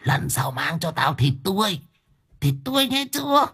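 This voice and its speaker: A man's voice: sits high, big pitch swings, moderate pace, pushed and bright, breathy edge, pitched above the performer's own voice, heavily acted. A man voicing a ravenous, possessed old grandmother who screeches curses and snarling threats at her family. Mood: furious.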